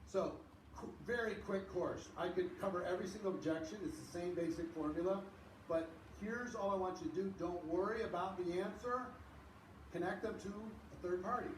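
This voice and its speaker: A man hosting a seminar, teaching in a quick, conversational way and repeating his key points. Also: high-pitched, reverberant, far from the mic, slight background noise, poor audio. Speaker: seminar host.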